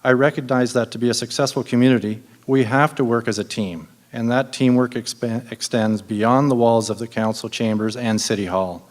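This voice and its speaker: A man, a candidate at a city council debate, reading a measured, formal campaign statement. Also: medium pitch, steady tone, big-room sound. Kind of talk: prepared campaign speech.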